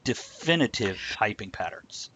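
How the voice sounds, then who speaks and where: A man's voice: fairly low pitch, expressive, unhurried, fairly bright tone, highs cut off. A man hosting a casual, conversational live-streamed talk show.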